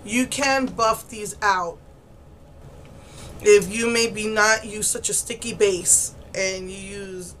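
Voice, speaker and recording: A woman's voice: medium pitch, fairly even intonation, takes it slowly, fairly bright tone. A middle-aged woman talks through a makeup tutorial in a loose, rambling way, full of ums. Her voice is stuffy and congested as she recovers from laryngitis. She sits close to the camera in a small room, with a faint hiss behind her. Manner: chatty, rambling with many ums. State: congested, getting over laryngitis. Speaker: makeup vlogger. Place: indoors, small room against a pale blue wall. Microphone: camera close to the face.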